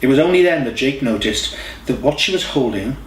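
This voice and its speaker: A man's voice: mid-range voice, animated, a little fast. A man reading a scripted story as an audiobook narrator. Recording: echoey room, distant mic, faint hiss.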